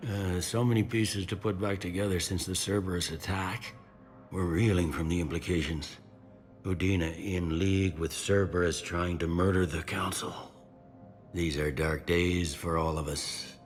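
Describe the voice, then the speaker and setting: Man acting tired gruff space cop boss, low voice, blunt, game cutscene w faint music. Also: fairly even intonation, moderate pace, lightly acted. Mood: weary, dogged. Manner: blunt, world-weary. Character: weary, gruff, dogged male security commander. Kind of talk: video game dialogue.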